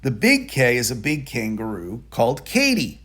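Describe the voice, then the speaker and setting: A man reading a children's picture book aloud in a deliberate, expressive storytelling manner. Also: medium pitch, slightly rough. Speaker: storybook reader.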